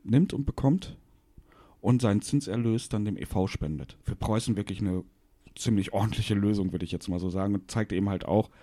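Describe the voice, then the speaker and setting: Westphalian guy, lower voice, explains stuff plainly, podcast cohost. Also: fairly quick, dark tone. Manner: explanatory and matter-of-fact. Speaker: podcast co-host. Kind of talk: casual chat.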